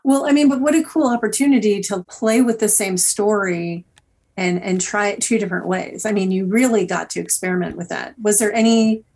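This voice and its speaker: A woman's voice: medium pitch, brisk, a little mellow, slightly rough. A woman conducting a casual interview as a podcast producer.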